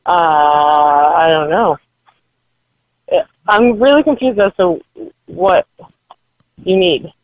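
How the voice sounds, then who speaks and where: A woman's voice: fairly low pitch, slow, long pauses, no echo. A woman talking unscripted on the telephone, blunt and no-nonsense, over a lo-fi line. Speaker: yard sale seller answering a prank call. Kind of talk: unscripted phone conversation.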